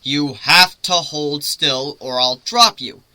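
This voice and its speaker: A man voicing a brash, commanding griffin ship's captain in a story reading, loud, theatrical and taunting. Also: fairly high pitch, takes it slowly, no echo, exaggerated voice.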